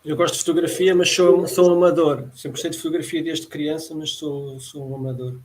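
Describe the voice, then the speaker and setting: A man's voice: on the high side, flat delivery, fairly quick, a little mellow, low-quality recording. A man from Lisbon with a Lisbon Portuguese accent, a workshop participant on a video call, hesitant and repeating words. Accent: European Portuguese, Lisbon.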